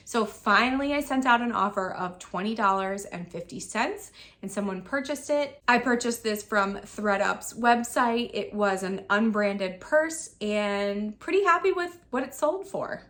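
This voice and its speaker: A woman in her thirties with a slightly high voice that has turned raspy while she recovers from an illness. She walks through her sales one item at a time, matter-of-fact and orderly. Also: a bit bright. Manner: itemized, matter-of-fact listing. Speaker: online reseller. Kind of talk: sales recap vlog.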